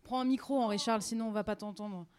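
A young woman hosts a relaxed community radio program, chatty and easygoing as she passes the talk around. Her voice sits in a middle register for a woman, neither high nor low. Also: fast talker. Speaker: radio host. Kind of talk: casual community radio chat.

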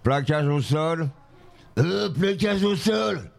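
A man's voice: on the high side, lively intonation, slow pace, gravelly. A man joking around and teasing people in a radio studio, clowning around playfully.

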